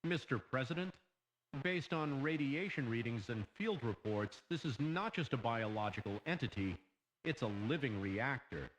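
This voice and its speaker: A man playing a grim scientist giving a measured, explanatory briefing to a president in a horror animation. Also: medium pitch, lively intonation, lightly acted, poor audio. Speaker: scientific adviser.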